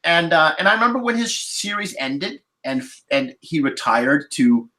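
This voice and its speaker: A man in a casual podcast roundtable, talking in a rambling, halting way and repeating words as he forms his thoughts. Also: a little high, sing-song, pushed and bright. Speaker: podcast panelist.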